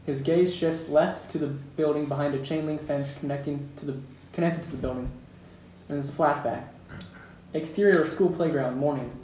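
Guy narrating a script table read, bit high voice, echoey and far from mic. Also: steady tone, normal speed, warm tone. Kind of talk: table read of stage directions. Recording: echoey room, distant mic, background noise.

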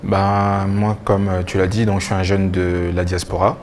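A young man giving halting answers with repeated words as a guest in a TV interview. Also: deep voice, little pitch movement, a little mellow, a little hiss or hum. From DR Congo and Belgium. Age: young adult. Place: TV studio. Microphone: lapel mic.

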